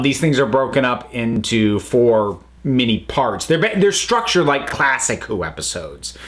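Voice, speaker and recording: Man, casual review host, hesitant, rambling, filler-heavy delivery, mid-pitched voice. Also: a bit bright. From United States.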